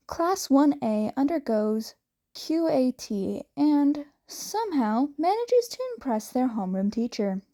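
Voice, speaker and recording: A woman reading a fanfiction story aloud, slowly and haltingly, with some restarts, and she raises her pitch when she voices the characters. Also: big pitch swings, dark tone. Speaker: fanfiction reader.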